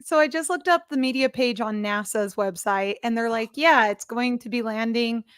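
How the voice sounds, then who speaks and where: A woman's voice: a little high, moderate pace, clean tone, dry recording, clean studio sound. A woman on a livestream panel chatting casually, in an emphatic, slangy, conspiratorial manner. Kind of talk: casual chat.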